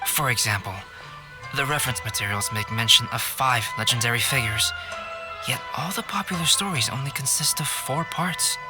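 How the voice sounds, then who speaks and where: A man voicing a calm, analytical, polite young artist in a video game, speaking in a measured, formal, reserved way. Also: mid-range voice, close to an everyday voice, low-quality recording. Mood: pondering. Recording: music behind the voice.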